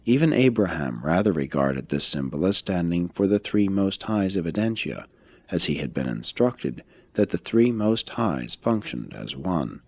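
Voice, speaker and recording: A man reading a religious text aloud as scripted narration, in a formal, measured, expository manner. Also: low voice, very animated, a little mellow, husky, no room sound. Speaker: audiobook reader.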